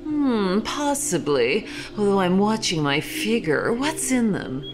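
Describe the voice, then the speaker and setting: A woman's voice: medium pitch, big pitch swings, slow pace. A woman playing a macabre, vain, darkly playful horror hostess with a theatrical, arch drawl in a podcast skit. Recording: music behind the voice.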